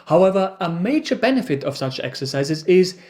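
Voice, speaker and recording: Austrian man, lively teasing presenter. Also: sits high, brisk. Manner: dry, teasing humor. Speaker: science expert co-host. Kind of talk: educational video presenting.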